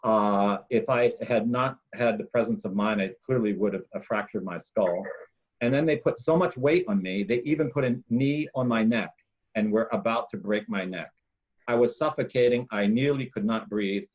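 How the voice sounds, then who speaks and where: A man, a monk hosting a casual talk, telling a long personal story in a loud, emphatic, rambling way. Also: on the low side, moderate pace, muffled recording. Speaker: podcast host, monk.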